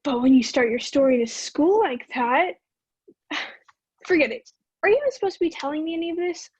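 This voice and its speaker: A woman's voice: high voice, animated, fairly quick, a little mellow, dry recording, highs cut off. A woman in an amateur play acting an indignant, bewildered runaway girl who presses with incredulous questions.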